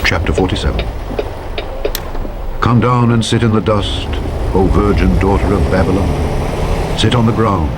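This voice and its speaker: A man narrating scripture from a script in a solemn, declamatory, measured way, phrase by phrase. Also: deep voice. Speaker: audiobook narrator. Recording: loud vehicle noise over voice. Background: loud truck driving sounds from a video game.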